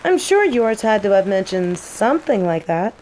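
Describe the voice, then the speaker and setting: An actress playing a teasing young nature mage and rival apprentice in a roleplay audio drama, playful and taunting. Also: female voice, medium pitch, normal speed, lightly acted, low-quality recording. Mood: taunting, calm. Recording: steady rain noise behind. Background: rain.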